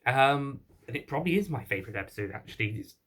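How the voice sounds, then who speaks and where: Guy reviewing stuff off the cuff, kinda rambly, keeps stopping and rewording what he says, mid pitched voice. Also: expressive, fairly bright tone, slightly rough. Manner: halting, rambling, often repeats and rephrases himself. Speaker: online reviewer of audio drama. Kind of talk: unscripted spoken review.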